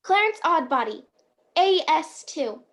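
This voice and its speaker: A very high-pitched, bumbling guardian angel played by a woman in a radio play. The delivery is earnest and chatty, with a kindly eagerness to help. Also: sing-song, unhurried, fairly bright tone, heavily acted. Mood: earnest, cheerful. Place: at home on a video call.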